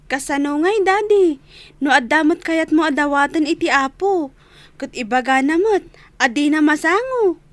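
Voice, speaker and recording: An actress with a Filipino accent playing an earnest, pious child in a radio drama, gently reproving a parent. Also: female voice, high voice, expressive, moderate pace, no echo. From Philippines. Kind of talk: Philippine radio drama acting.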